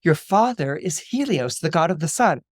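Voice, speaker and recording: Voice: medium pitch, very animated, moderate pace, dry recording, studio quality. An adult doing a breezy, doting mother. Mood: offhand. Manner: hesitant, casual, soothing. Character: breezy, doting mother, offhand and gently reassuring.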